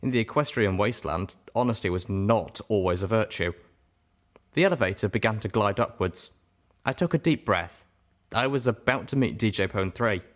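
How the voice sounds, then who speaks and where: Man, lowish pitch, audiobook narrator, expressive first-person storytelling. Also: moderate pace. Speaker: audiobook reader.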